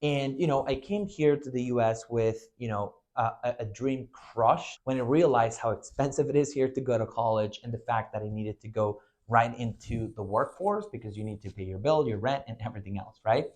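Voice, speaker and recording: A man's voice: medium pitch, normal speed, few pauses, a little mellow. A man from Venezuela in his twenties delivers a motivational recruiting talk for his own agency. He speaks earnestly and in a mid-range voice, often leaning on "you know" and "right" as he goes. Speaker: agency owner recruiting staff. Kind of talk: recruitment video monologue.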